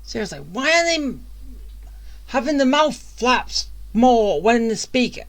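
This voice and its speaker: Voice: medium pitch, big pitch swings, measured pace. A game streamer giving casual, grumbling commentary while playing.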